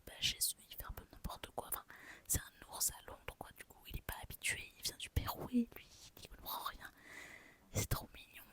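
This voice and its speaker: Whispering young woman, bright and lively. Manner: soft whisper throughout; chatty, gushing, many fillers. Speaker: vlogger. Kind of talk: whispered book and souvenir haul. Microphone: phone.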